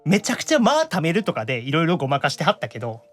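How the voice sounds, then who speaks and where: A man with a high voice and a Kansai Japanese accent hosts a chatty radio-style show. His delivery is quick and swings widely in pitch. He teases and playfully scolds in casual banter. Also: very animated, fairly bright tone, soft background music. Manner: teasing, mock-scolding banter. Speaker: podcast host.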